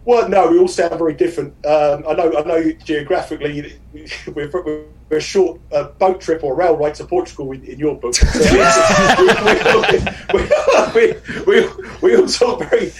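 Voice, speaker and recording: A quick, jokey man with a thick South London accent, his voice sitting a little high. He sounds a bit away from the mic, with faint room noise behind him. Casual podcast banter. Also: distant mic. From South London, England. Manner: fast-talking, jokey, animated. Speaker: guest betting pundit.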